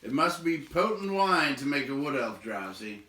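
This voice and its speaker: A man's voice: mid-range voice, very animated, normal speed, sharp tone. A man reading a storybook aloud to listeners, moving between narration and casual remarks. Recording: roomy echo, distant from the mic.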